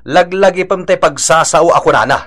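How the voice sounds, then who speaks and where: A man's voice: on the high side, normal speed, fairly bright tone, natural voice. An actor playing an exasperated husband in a radio drama, scolding his gossiping wife emphatically. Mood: reproachful. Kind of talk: Ilocano radio drama acting.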